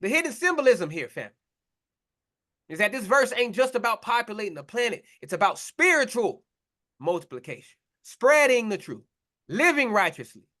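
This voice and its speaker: A man's voice: high-pitched, fairly bright tone. A man preaching a confrontational sermon, blunt and mocking, in slangy talk to his listeners.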